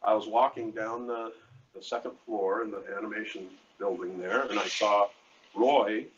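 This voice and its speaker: An older man with a deep voice telling rambling, halting anecdotes in a casual interview, often quoting conversations. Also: fairly even intonation. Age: 60s or older. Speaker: artist being interviewed. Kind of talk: casual storytelling at a live event. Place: hotel event space. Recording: muffled lo-fi live stream, noisy room.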